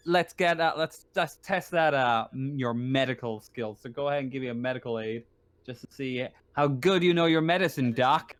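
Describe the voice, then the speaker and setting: A man running a tabletop roleplaying game as game master, improvising casually and haltingly, often pausing to think aloud. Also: mid-range voice, clean tone.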